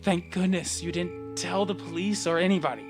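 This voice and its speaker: A man's voice: sits high, normal speed, soft background music, natural voice. A young man playing a troubled, lonely, sardonic teenage boy in an audio play, with a dry, morbid way of talking. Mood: calm, grateful.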